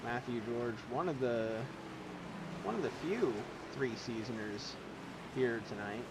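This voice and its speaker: A man calling a sim race, casual and rambling, with asides. He is losing his voice and clears his throat now and then. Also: mid-range voice, animated, unhurried. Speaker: race commentator. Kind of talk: sim racing play-by-play commentary. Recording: loud engine noise behind. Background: race car engine sounds.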